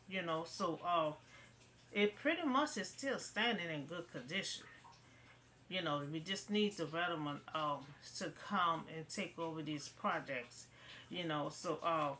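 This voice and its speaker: A woman's voice: fairly low pitch, sharp tone, a little hiss or hum. Rambling New woman vlogging from home. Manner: rambling, many "you know" fillers. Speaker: local news vlogger. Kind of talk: casual commentary to camera. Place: bedroom at home, indoors. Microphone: webcam in front of her.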